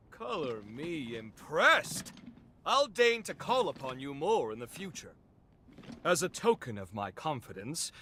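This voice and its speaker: An actor voicing a pompous, eccentric scientist in a video game, with florid, condescending, theatrically polite speech. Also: male voice, a little high, bright, forceful tone, slight background noise, exaggerated voice. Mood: teasing, pleased. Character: eccentric, self-important revenant scientist, a theatrical lecturer. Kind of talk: video game cutscene acting.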